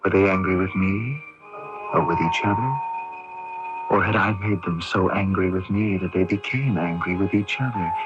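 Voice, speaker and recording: An actor playing a troubled, anxious young son in a radio drama, brooding and questioning, stammering under stress. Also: male voice, low voice, normal speed, lightly acted. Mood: brooding, puzzled. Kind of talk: radio drama acting with first-person narration. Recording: muffled early-1980s AM radio broadcast, music behind.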